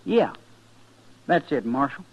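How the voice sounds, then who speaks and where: An actor playing a proud, sullen sixteen-year-old cowhand with curt, rustic speech in a 1950s radio western. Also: male voice, medium pitch, sing-song, takes it slowly. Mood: defiant. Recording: muffled old radio recording.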